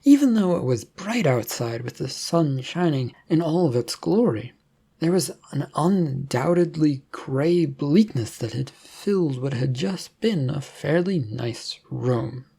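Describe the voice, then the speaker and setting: Mellow, husky-edged male storyteller. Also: a little high, lively intonation, unhurried. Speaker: narrator. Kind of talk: second-person horror story narration.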